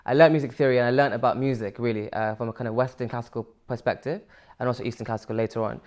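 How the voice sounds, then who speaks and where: A man's voice: mid-range voice, steady tone, rapid-fire, darkish tone. A young man from Sri Lanka, answering interview questions casually with many fillers and restarts. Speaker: musician guest.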